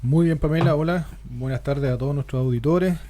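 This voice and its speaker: A man's voice: fairly high pitch, a little fast, background noise. A man with a Chilean accent, a local shopkeeper speaking as a panelist on a radio talk show, earnest with some repeated words. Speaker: panelist, shopkeeper and council candidate. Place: radio studio.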